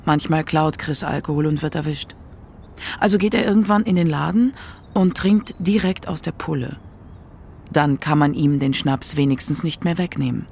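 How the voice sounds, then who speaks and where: A man narrates a radio documentary from a script. His voice sits a little high and has a marked gravelly fry, with faint noise in the background. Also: moderate pace, darkish tone.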